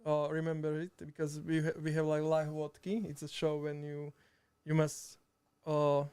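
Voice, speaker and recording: A Slovak man chatting in halting, hesitant English, full of restarts and laughter, sitting a bit far from the mic. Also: on the high side, completely flat delivery, a little mellow, smooth. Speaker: streamer and video editor guest. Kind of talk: casual chat. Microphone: too far from the mic.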